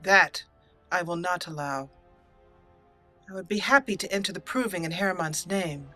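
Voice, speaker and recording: Female gameplay host, bright mid-pitched voice, playful and theatrical roleplay, music underneath. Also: normal speed. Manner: playful, reads her character's lines dramatically. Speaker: let's play host.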